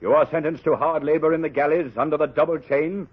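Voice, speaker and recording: An actor playing a stern, formal judge who declaims in a 1930s radio drama. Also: male voice, on the high side, steady tone, fast talker, slightly rough. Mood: grave, emphatic. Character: stern male judge pronouncing a harsh sentence. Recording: muffled, tinny old radio recording.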